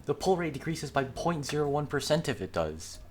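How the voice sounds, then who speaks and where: Man as an aloof, animated gacha gamer girl. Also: fairly high pitch, a little fast, voice pushed up. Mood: earnest. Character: aloof young woman gamer obsessed with gacha games. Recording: music behind the voice.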